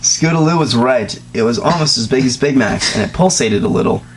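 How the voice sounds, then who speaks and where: A man hosting a comedic dramatic reading, reading the text aloud and breaking off into sarcastic, deadpan asides. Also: mid-range voice, fairly quick, a little hiss or hum, low-quality recording. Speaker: reader and host.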